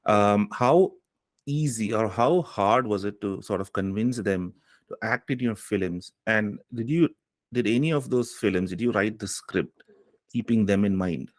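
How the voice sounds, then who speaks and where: A very deep-voiced man asks long, meandering questions, pausing on fillers and checking in with "right?". His voice is close and dry, with almost no room sound. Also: dry recording. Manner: hesitant, with many fillers, ending questions on "right?". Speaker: interviewer. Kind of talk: interview questions.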